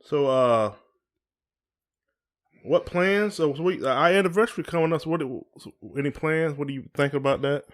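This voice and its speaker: A man's voice: a little high, normal speed. A man co-hosting a podcast in casual chat, asking questions in informal, hesitant phrasing. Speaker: podcast co-host.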